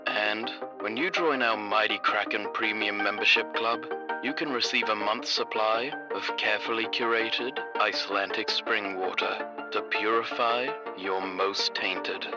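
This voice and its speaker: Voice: medium pitch, fairly even intonation, measured pace, muffled recording, loud music behind the voice, close to an everyday voice. An actor playing a suave, sultry, mock-serious announcer in a parody radio advert.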